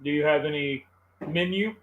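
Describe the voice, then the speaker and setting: A man's voice: a little high, normal speed, fairly bright tone, low-quality recording. Chatty man hosting a stream. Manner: easygoing and chatty, talks to the chat. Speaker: host of a live cooking stream. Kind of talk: casual live-stream chat.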